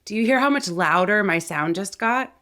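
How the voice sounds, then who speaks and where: A woman's voice: medium pitch, lively intonation, normal speed, fairly bright tone. A woman speaking as a voice coach and host, explaining things to viewers in an articulate, conversational way. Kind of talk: instructional talk to viewers.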